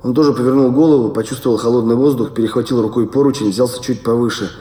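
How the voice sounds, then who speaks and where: An actor playing a detached observer, narrating an audio play from off mic in an echoey room. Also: male voice, medium pitch, steady tone, fast talker, mellow, distant mic, close to an everyday voice. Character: detached observer narrating small everyday bus movements.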